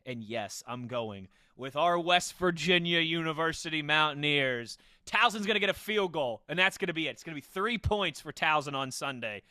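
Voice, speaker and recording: A man's voice: fairly high pitch, lively intonation, moderate pace, few pauses, sharp tone, no room sound, clean studio sound. A man hosting a sports podcast in an informal, jokey way, moving through segments and banter. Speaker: podcast host.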